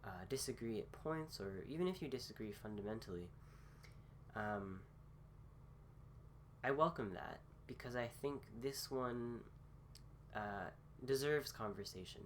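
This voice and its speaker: A man at middling pitch talks slowly through a casual philosophy talk, his voice a bit rough from a cold he is getting over. He hesitates often, filling gaps with ums and starting phrases twice. A faint hum sits behind him. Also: lively intonation. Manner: slow, hesitant, many fillers and restarts. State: recovering from a cold. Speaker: host.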